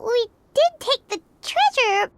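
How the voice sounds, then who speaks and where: A woman voicing a small, excitable girlish companion in a read-aloud game story, talking in a chirpy, blunt way. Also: very high-pitched, takes it slowly, background noise, pitched above the performer's own voice, heavily acted.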